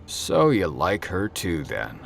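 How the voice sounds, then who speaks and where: Deep, cocky, crude swaggering god, acted by a man. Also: wildly swooping pitch, takes it slowly, vocal fry, exaggerated voice. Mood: smug curiosity. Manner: swaggering, sarcastic, teasing. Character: cocky, crude, flamboyant male antihero god. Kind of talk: audio drama character acting.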